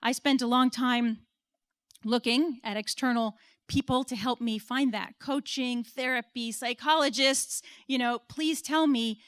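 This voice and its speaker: An American woman in her forties speaks to a room of listeners, earnest and personal, with a light laugh at her own expense now and then. Her voice sits a little high, and the sound is close and dry, with no room echo. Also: steady tone, a little slow, a bit bright, smooth. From United States. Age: 40s. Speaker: conference speaker. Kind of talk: conference talk. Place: stage at an event.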